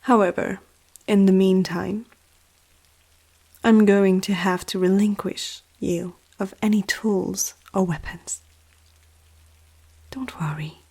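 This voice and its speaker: A woman voicing a powerful, teasing female vampire noble in an ASMR roleplay, speaking softly and often close to a whisper, with an aristocratic, self-assured manner. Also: mid-range voice, steady tone, slow pace, long pauses, exaggerated voice. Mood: calm, assured. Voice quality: soft, breathy, often near whisper. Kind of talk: ASMR roleplay addressed to the listener.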